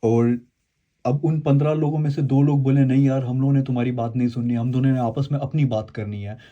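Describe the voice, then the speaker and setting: A man's voice: medium pitch, steady tone, a little fast, dark tone, muffled recording. A man with a mid-range voice presents a casual product demonstration. He explains things to his viewers in a rambling, conversational way, often going back to repeat or correct what he has just said. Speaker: host of an unboxing and review video.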